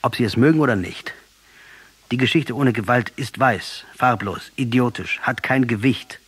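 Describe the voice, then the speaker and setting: An actor playing a defiant, passionate, sardonic captured guerrilla commander in a German radio drama, combative and mocking, with a husky voice. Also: male voice, medium pitch, moderate pace, fairly bright tone, dry recording. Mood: grave, urgent. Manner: combative, mocking, rhetorical, insistent.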